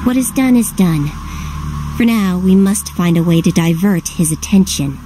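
A woman voicing a haughty, calculating fairy plotter in a video game, speaking in a formal, measured, disdainful way. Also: on the low side, expressive, noisy background, close to an everyday voice. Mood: cold, scheming. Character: scheming female fairy elder, cold, haughty, calculating. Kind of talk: English video game voice acting. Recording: music behind the voice. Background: game music.

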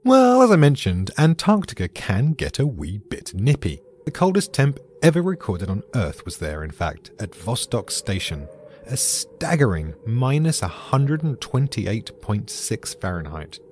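A man's voice: mid-range voice, huge pitch swings, measured pace, a little mellow, slight background noise. A British man giving dry, dramatic scripted podcast narration as the explainer.

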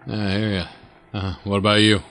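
A man's voice: on the low side, little pitch movement, a little fast, a bit bright. A man playing a wary, tough-talking inmate in an audio drama, blunt and sardonic. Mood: wary, casual. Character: wary, tough-talking foul-mouthed male new asylum inmate.

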